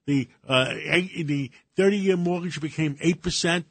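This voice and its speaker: An older Greek-born man, a radio co-host, who talks casually in halting bursts with many fillers and restarts. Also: a little high, creaky, a bit bright, dry recording. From Greece.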